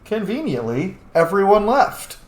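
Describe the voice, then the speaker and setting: A man's voice: high-pitched, very animated, a little slow, a little mellow. A man giving casual, playful commentary on a game and chatting to its characters as he plays. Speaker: gamer narrating a playthrough. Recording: echoey room, background noise.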